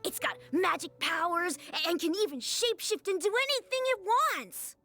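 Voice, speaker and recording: A woman's voice: extremely high pitch, big pitch swings, moderate pace. A woman voicing an eager, stubborn young child in a video game, earnest and insistent. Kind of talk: game voice acting. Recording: game audio over music. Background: game music.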